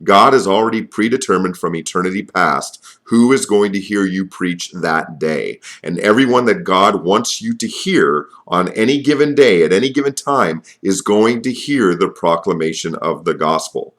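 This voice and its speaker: A man with a notably deep voice gives informal, instructional advice as a preaching coach. He is earnest and stresses his key points, with hesitant pauses between phrases. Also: lively intonation, fairly bright tone. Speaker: open-air preaching coach and radio host.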